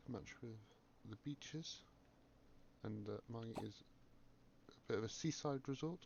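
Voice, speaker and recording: A man with a croaky voice from a cough, calmly commentating a game and thinking aloud with many pauses. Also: mid-range voice, muffled recording, a little hiss or hum. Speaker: gaming video creator. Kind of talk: relaxed gameplay commentary.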